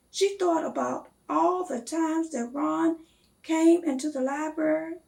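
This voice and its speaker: A woman reading a storybook aloud to children in a deliberate, expressive manner. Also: high voice, vocal fry. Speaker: read-aloud guest reader.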